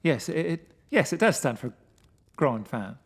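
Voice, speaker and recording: A man's voice: a little high, sing-song, a little slow, slightly rough, heavily acted. A man voicing a nervous, childlike, frightened man who stammers and pleads in a gothic horror story. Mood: anxious. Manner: pleading, stammering, repeats himself. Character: terrified nervous man, childlike in his fear of the dark.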